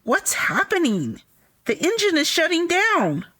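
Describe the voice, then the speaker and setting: Woman acting a high-voiced, urgent scientist. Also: huge pitch swings, a little slow, bright, forceful tone, full character voice. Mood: alarmed. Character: alarmed, principled female scientist, firm and pleading. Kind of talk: audio drama acting.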